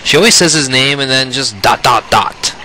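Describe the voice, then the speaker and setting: A man's voice: medium pitch, normal speed, sharp tone, a little hiss or hum, low-quality recording. A man giving casual let's play commentary, rambling and often restarting his phrases, with game music playing behind him.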